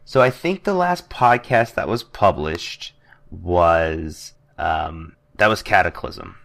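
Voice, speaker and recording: A young man with a very low voice co-hosting a relaxed podcast. He hesitates often, fills gaps with ums and drops in dry jokes. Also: takes it slowly, vocal fry. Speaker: podcast co-host. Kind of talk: casual chat.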